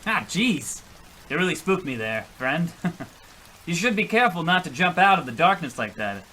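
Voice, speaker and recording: Male actor hapless shoe salesman, radio comedy, flustered, melodramatic, highish bright voice, faint background noise. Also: big pitch swings, normal speed. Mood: awkward, amiable. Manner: earnest, flustered, stammering. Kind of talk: comic old-time radio play acting.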